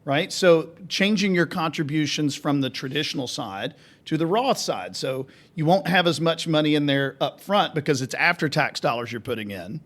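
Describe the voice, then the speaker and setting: A man's voice: fairly high pitch, animated, normal speed, fairly bright tone. A man co-hosting a finance radio show, explaining plainly and walking through examples. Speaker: financial advisor, co-host. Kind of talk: casual radio chat.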